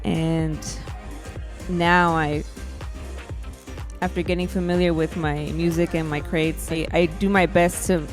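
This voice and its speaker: A soft-spoken woman DJ with a low, mellow voice talks quietly and without hurry. Faint house music hums underneath her as she chats. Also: flat delivery, slow pace, long pauses. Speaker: DJ guest. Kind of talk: casual interview chat.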